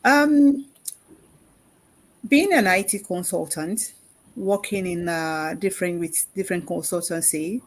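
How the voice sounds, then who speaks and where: A mature woman from England talks casually as a guest in an interview. Her voice is a little lower than most women's. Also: a little slow, long pauses, low-quality recording. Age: 50s or older.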